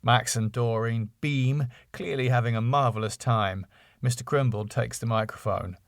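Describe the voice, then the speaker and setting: A man's voice: mid-range voice, moderate pace, creaky, no room sound, clean studio sound. A man narrating a comedy script, reading out scene headings and stage directions. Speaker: writer and narrator.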